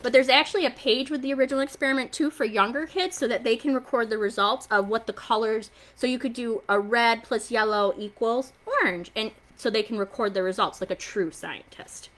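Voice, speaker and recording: A woman hosting a children's story time, excitable and encouraging as she talks young viewers through a hands-on science demonstration in simple terms. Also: a little high, a little fast, hardly any pauses.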